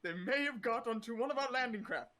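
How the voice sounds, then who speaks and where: A dutiful battle droid played by a man reports in a very high, bright voice. The delivery is animated, in the manner of an amateur sci-fi script reading. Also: fast, a little hiss or hum, exaggerated voice. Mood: dutiful reporting.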